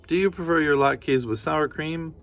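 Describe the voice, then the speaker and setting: A man's voice: a little high, normal speed, voice pushed up. A man putting on a doting old grandmother. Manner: doting, gently scolding. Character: kindly old grandmother, warm and fussing.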